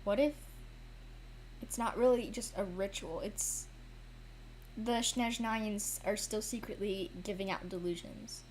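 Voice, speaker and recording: A woman's voice: mid-range voice, unhurried, pauses often, darkish tone, a little hiss or hum. A woman doing casual gameplay commentary as a streamer. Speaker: game streamer.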